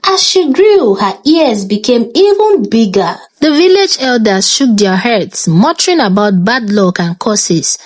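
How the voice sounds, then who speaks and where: A woman with a Nigerian accent narrating a scripted folktale in a dramatic, expressive manner. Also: a little high, unhurried, hardly any pauses, no room sound. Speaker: storyteller.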